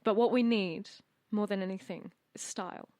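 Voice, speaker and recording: A woman playing a cool, beleaguered rocker making a deal, speaking in a measured, sardonic way in a tabletop roleplaying game. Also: mid-range voice, steady tone, a little mellow, dry recording, natural voice. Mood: exasperated. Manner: dry, measured, exasperated. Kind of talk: improvised in-character tabletop roleplay.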